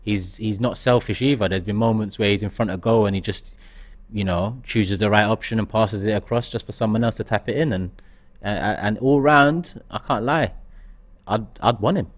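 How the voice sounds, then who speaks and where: A man co-hosting a live football fan show, giving his views in a measured, step-by-step way. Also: fairly low pitch. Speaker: fan channel co-host. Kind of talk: casual live football chat.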